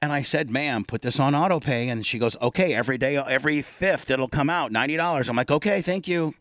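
A man's voice: medium pitch, fast talker, fairly bright tone, no echo. A man hosting a talk show, bantering and telling stories in a casual way.

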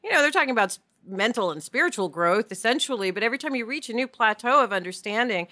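A woman co-hosting a podcast in an informal, opinionated, animated way of chatting. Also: on the high side, fast talker. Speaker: podcast co-host. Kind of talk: casual podcast discussion.

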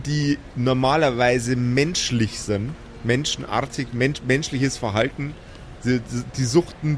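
A man's voice: medium pitch, slight background noise. A young man acting as game master in an improvised audio play, narrating animatedly with frequent restarts. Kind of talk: improvised narration and table chat.

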